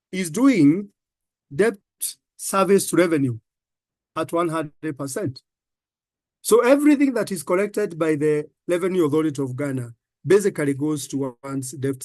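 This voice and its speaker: A man with a Kenyan accent, a finance professor giving halting comments on a panel with many fillers and repeated words. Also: a little high, darkish tone, no echo.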